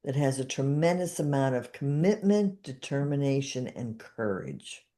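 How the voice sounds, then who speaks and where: A woman's voice: rumbling low, sing-song, normal speed, mellow. A woman in her seventies hosting a podcast, chatting casually and repeating guided phrases after her guest. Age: 70s. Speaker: podcast host and therapist.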